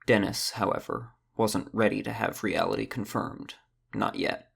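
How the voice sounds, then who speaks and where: A man with a gravelly, husky voice reading scripted narration for a fantasy audio drama. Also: lowish voice, fairly even intonation, measured pace.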